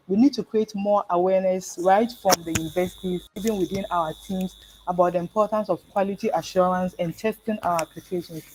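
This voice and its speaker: A woman giving a prepared talk at an online event over a video call, measured and pausing on frequent fillers. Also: mid-range voice, a little mellow, a little hiss or hum, poor audio. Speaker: guest speaker.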